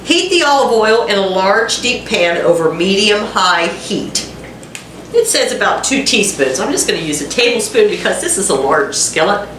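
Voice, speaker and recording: Home cook host with a cold, woman, stuffy nasal tone, chatty and self-mocking, echoey kitchen, mic set back, loud sizzling pan. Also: medium pitch, very animated, moderate pace, far from the mic, a little hiss or hum. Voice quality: congested and nasal. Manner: chatty, self-deprecating asides to viewers. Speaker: cooking channel host. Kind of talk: casual home cooking demonstration. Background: frying sizzle and a dishwasher running.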